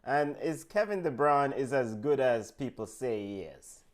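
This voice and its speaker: A man hosting a sports talk show, brisk and upbeat, keeping things moving against the clock. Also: mid-range voice, animated. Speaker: host.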